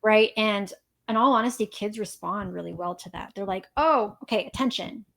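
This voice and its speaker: A woman's voice: medium pitch, normal speed, gravelly, fairly bright tone. Young woman therapist guest, explains patiently, acts out little examples. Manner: explanatory, acts out example dialogue, frequent affirmations. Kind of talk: casual interview.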